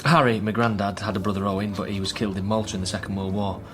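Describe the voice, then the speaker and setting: A man acting a troubled son in a British radio drama. His voice is on the low side, earnest and a little hesitant, with a guarded temper. Faint music sits underneath. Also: steady tone, rapid-fire, natural voice. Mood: guarded, subdued. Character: worried, guarded divorced son caring for troubled father.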